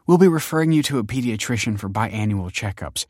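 A man's voice: fairly low pitch, animated, a little fast, darkish tone, no room sound, studio quality. A man voicing an earnest, apologetic female ministry counsellor with a formal, reassuring way of talking, in an audiobook. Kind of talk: audiobook character acting.

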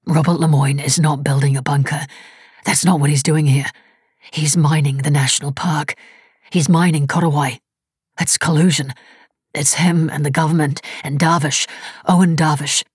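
A woman narrator voicing an injured, hunted man who talks in a hoarse, urgent, pleading rush. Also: a little high, fairly even intonation, normal speed, no room sound, studio quality. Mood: frantic urging. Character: injured hunted young man, frantic and desperate.

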